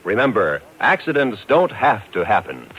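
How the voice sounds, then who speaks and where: Animated vintage radio announcer, a man. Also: on the low side, normal speed. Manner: earnest, admonishing. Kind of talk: 1950s public service announcement. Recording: dull lo-fi vintage radio recording.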